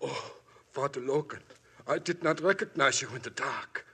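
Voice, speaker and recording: A man's voice: medium pitch, moderate pace, sharp tone, husky, exaggerated voice. An actor playing a frightened refugee man who has killed someone, with a pleading, anguished delivery, in a 1950s radio drama. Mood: desperate. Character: frightened German refugee man, guilt-ridden murderer. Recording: old broadcast with faint music.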